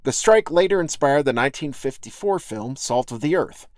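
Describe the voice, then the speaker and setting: A man with a slightly low-pitched voice narrates a first-person essay for a podcast. He tells it in a reflective, folksy manner. The sound is dry, with very little room in it. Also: lively intonation, moderate pace, dry recording. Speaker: podcast host and essayist reading own essay.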